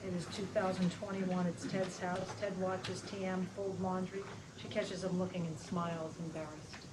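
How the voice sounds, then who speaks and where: A woman narrates stage directions from a script in a fairly low voice. The delivery is scripted narration, read aloud at a table read. There is clear noise around her in a live room recording. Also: flat delivery, normal speed.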